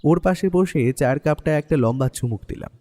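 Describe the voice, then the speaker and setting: A man playing an easygoing writer, the detective's companion, narrating a Bengali audio mystery in the first person with expressive storytelling. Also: a little high, brisk, close to an everyday voice. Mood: reflective, narrating.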